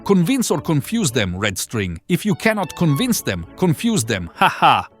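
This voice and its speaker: A man's voice: fairly high pitch, wildly swooping pitch, moderate pace. A man playing a boastful, sly bird in an audio play, theatrical in manner. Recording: music behind the voice.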